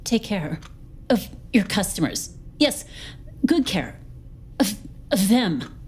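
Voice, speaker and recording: A woman's voice: mid-range voice, sing-song, takes it slowly, a little mellow, husky, heavily acted. A woman voicing a sympathetic police sergeant who speaks a little awkwardly.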